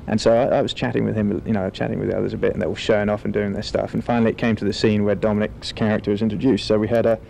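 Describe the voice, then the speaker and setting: Male director, low creaky voice, conversational, wordy storytelling, faint rumble. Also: flat delivery, very fast, hardly any pauses, darkish tone. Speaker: film director being interviewed. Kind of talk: relaxed conversational interview.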